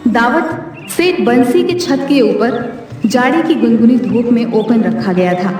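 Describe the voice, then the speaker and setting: Female storyteller, slightly high voice, heavy echo, scripted narration for a moral tale. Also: darkish tone. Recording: echoey, distant, music underneath. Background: background music.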